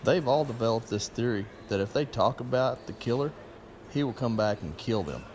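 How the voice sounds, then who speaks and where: A man acting as a guarded, fearful local in a small-town diner. His mid-pitched voice moves up and down in a lively way, with faint noise behind it. It plays as conversational audio drama. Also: moderate pace, close to an everyday voice. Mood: guarded, ominous.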